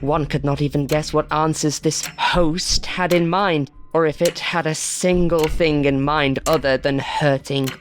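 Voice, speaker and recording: Slow, low-voiced woman narrating horror. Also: fairly even intonation, soft background music. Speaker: narrator of a short horror story.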